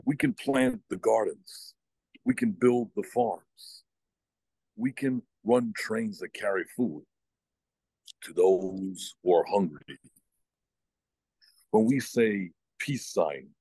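A man in his forties reads poetry slowly in a husky, somewhat low voice. The phone mic gives a close, dry sound with little room in it. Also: a little mellow, no room sound, low-quality recording. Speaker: poet. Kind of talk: poetry reading. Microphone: phone at arm's length on a video call.

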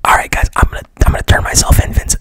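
A man voicing a scheming, smooth-talking male raccoon in a hushed whisper, offhand and foul-mouthed. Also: racing, natural voice. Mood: resigned.

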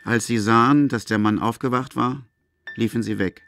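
A man's voice: medium pitch, steady tone, normal speed, gravelly, a bit bright, a little hiss or hum. A man narrating a literary audio drama from a script.

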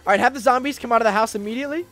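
A young American man doing casual, excitable roleplay gameplay commentary, chatting straight to his viewers at a close mic. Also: extremely high pitch, very fast, faint music behind. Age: young adult. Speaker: gaming video host. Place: indoor room gaming setup with webcam.

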